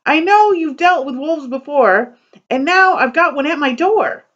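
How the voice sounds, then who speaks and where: A woman voicing a frightened little male pig in a read-aloud poem, pleading in a high voice. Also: expressive, unhurried, a bit bright, highs cut off.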